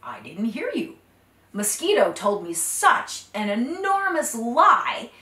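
A woman's voice: a little high, big pitch swings, slow, sharp tone, echoey room, recorded from a distance, heavily acted. A woman voicing a flustered male iguana who protests his innocence. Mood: indignant. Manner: indignant, protesting.

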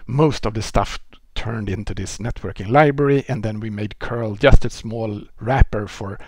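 A man with a Swedish accent explains a technical topic at an easy pace, voice slightly husky and pitched fairly low. He talks into a headset, the sound close and dry with no room around it, restarting phrases as he thinks. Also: moderate pace, no room sound. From Sweden. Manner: relaxed explaining, with frequent restarts and fillers. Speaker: presenter. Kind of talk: technical lecture. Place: indoor room.

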